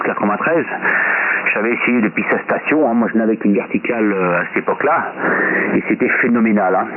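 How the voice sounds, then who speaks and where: A man with an accent from France talks over amateur radio in a casual, rambling technical monologue. His phrases often end in a small questioning tag. The sound is narrow like a telephone, with clear static and noise around the voice. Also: on the low side. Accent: French from France. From France, Paris region. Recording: noisy, phone-like shortwave radio transmission. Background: loud radio static and noise.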